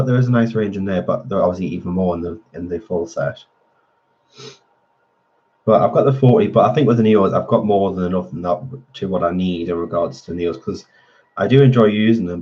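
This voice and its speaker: A man's voice: low-pitched, moderate pace, highs cut off. A man hosting a colouring channel, chatting casually with viewers while he colours, a little nasal as he gets over a cold. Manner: chatty, answering viewer comments. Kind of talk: casual live-stream chat while colouring.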